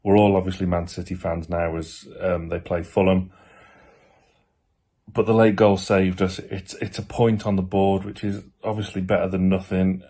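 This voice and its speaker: A man's voice: low-pitched, level tone, normal speed, dark tone, husky. A man giving blunt, critical post-match football opinion, repeating his points for emphasis. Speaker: fan channel pundit.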